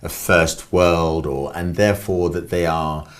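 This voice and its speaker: A man's voice: low-pitched, fairly even intonation, a little slow. A man hosting a TV interview, asking questions in a formal, emphatic way. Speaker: talk show host.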